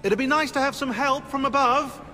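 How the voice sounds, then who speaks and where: An actor playing a sarcastic, pedantic researcher in a video game, halting as he thinks aloud. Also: very high-pitched, bright, forceful tone. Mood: sardonic. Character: fussy, sarcastic British history researcher. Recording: echoey hall, music behind.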